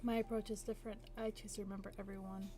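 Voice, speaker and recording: Woman playing earnest young traveler in a video game, mid pitch, gentle, noise behind her. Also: little pitch movement, rapid-fire, natural voice.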